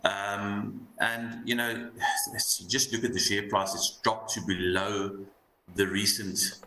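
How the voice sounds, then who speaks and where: A man with an Afrikaans accent giving a frank, matter-of-fact stock pitch as a market commentator on business TV. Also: little pitch movement, slow, pushed and bright, reverberant, a little hiss or hum, low-quality recording.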